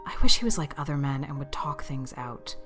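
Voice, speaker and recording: A woman playing a stern, practical older farm woman in storybook dialogue, brisk, grim and exasperated. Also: rumbling low, expressive, music in the background. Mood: muttering, puzzled. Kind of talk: dramatised audiobook dialogue.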